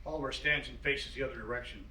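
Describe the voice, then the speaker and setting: A man's voice: medium pitch, steady tone, fast, pushed and bright. A man reading scripted narration and stage directions for a play. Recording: roomy, distant mic, audible noise.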